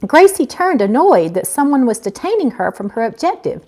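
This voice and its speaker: Expressive high-voiced woman reading aloud. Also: normal speed. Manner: expressive, informal, unpolished reading. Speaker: author reading own novel aloud. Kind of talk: live amateur audiobook reading with casual asides.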